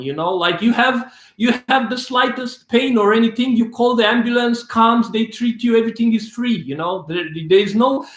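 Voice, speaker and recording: A man's voice: high voice, a bit bright, echoey room. A young man from Romania with a Romanian accent, answering viewer questions in a rambling, casual live Q&A, full of restarts, laughs and swearing. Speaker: filmmaker hosting a live Q&A.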